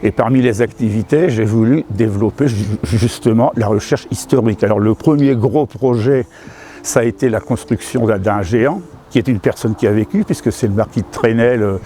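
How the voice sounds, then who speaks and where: A man's voice: lowish voice, a little fast, warm tone, a little hiss or hum. Older man recalling local history. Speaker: retired history teacher. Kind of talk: documentary interview.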